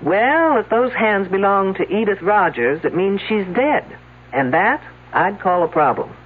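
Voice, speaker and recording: An actress playing a sharp-tongued woman detective with a dry, sarcastic way of talking. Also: mid-range voice, animated, unhurried, husky. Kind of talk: 1970s radio drama acting. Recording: tinny old radio broadcast.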